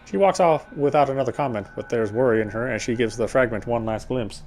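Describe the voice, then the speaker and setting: A man's voice: medium pitch, a little fast, darkish tone, faint music behind. A man reading a game's story narration aloud in a dramatic storytelling style.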